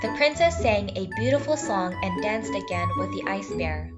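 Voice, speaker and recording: A woman's voice: medium pitch, animated, moderate pace. A woman reading a scripted fairy tale for children as a storyteller. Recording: slightly dull sound, music bed.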